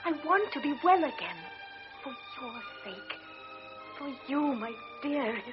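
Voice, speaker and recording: A woman's voice: high-pitched, big pitch swings, a little slow. A fragile, yearning invalid girl. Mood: tender. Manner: breathless, intense, devoted. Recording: dull lo-fi radio broadcast with music.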